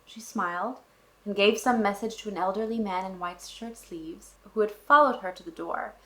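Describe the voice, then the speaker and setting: A woman's voice: medium pitch, normal speed, low-quality recording. A woman reading a classic novel aloud and explaining it, in a casual manner with wry asides to the characters. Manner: frequent fillers and restarts, thinking aloud. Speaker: book channel host.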